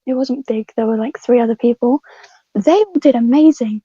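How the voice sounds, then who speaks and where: A woman chatting casually as a podcast guest, halting as she thinks aloud. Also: fairly high pitch, dark tone, breathy edge, no echo. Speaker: script writer and voice actor, podcast guest. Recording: dull, muffled lo-fi mic.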